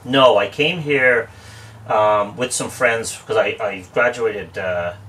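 A deep-voiced Irish man from Dublin, in his forties, chatting as a guest with dry jokes and restarts. He sits a little back from the mic, and a faint hiss lies under the speech. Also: takes it slowly, fairly bright tone, distant mic. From Ireland. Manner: chatty storyteller, wry jokes, frequent restarts. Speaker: guest, real estate expert. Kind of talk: casual interview chat.

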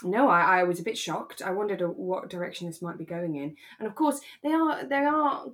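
Expressive woman chatting on a podcast. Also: lowish voice, fairly quick. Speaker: podcast co-host. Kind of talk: casual chat reviewing a radio drama.

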